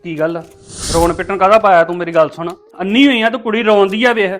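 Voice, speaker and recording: A man's voice: fairly high pitch, fairly even intonation, quick pace, fairly bright tone, close to an everyday voice. A man playing a blunt, short-tempered yet protective family man in a domestic melodrama. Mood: angry, scolding. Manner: blunt, emphatic, colloquial. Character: scolding, short-tempered man, protective toward an abandoned baby. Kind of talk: melodramatic domestic drama acting.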